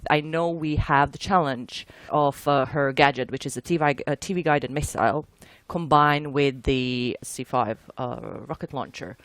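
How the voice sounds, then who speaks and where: A woman's voice: extremely low pitch, a little slow, dry recording. A woman from Croatia with a Croatian accent, speaking informally as a game producer in a casual developer discussion, often rephrasing mid-sentence. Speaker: game producer, panel guest.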